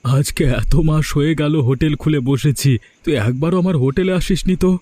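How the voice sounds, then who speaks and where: A man voicing a well-meaning village man in a cartoon, earnest and measured. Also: on the high side, mellow, dry recording, natural voice. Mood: hurt. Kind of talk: Bengali cartoon voice acting.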